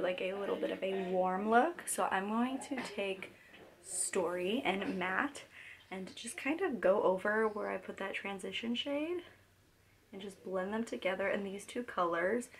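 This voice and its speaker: A hoarse young woman getting over a cold, chatting casually through a makeup tutorial at home, rambling with lots of fillers and restarts. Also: medium pitch. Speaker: beauty vlogger.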